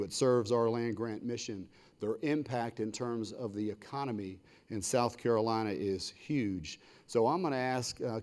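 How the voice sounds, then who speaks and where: An American man addresses a forum as a university leader introducing a fellow panelist. His medium-pitched voice delivers short, punchy phrases with enthusiasm, in a semi-formal public speaking style. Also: a little mellow.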